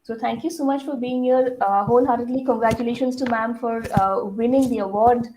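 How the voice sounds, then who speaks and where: Middle-aged woman, Indian English accent, school leader at assembly, earnest and halting, a bit high-voiced. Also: steady tone, warm tone, slight background noise, poor audio. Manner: earnest, many fillers, asks the audience questions. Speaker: school head addressing students.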